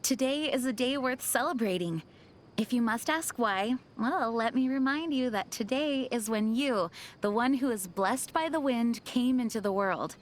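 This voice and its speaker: A woman voicing an earnest, dutiful young knight commander in a video game, speaking in a formal, measured way. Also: fairly high pitch, a little hiss or hum, close to an everyday voice. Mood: gracious, warm. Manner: formal, measured, solemn diction. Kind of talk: video game character voice lines.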